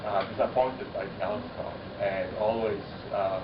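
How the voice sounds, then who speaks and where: Man, Polish native, lower voice; reflective panel answer, halting; distant echoey room recording, dull and noisy. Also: steady tone. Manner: thoughtful, halting, circling back to rephrase. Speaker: poet-translator. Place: university common room.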